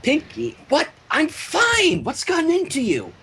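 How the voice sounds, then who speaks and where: A man's voice: extremely high pitch, huge pitch swings, a little slow, gravelly, bright, forceful tone, a little hiss or hum, voice pushed up, heavily acted. A man voicing a studious, exasperated female unicorn pony who protests irritably, in a cartoon fan story reading.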